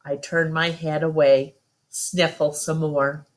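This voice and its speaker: A woman's voice: low-pitched, level tone, takes it slowly. A woman storyteller reading a first-person children's novel aloud as narration.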